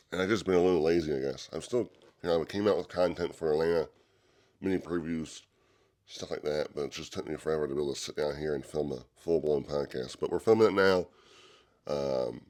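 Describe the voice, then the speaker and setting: A man's voice: extremely low pitch, warm tone. A young man with a congested, stuffy nose from a cold, hosting a casual solo podcast close to the mic and rambling with fillers and restarts. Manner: rambling, loose delivery with many fillers and restarts. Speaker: podcast host. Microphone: microphone held close to the mouth.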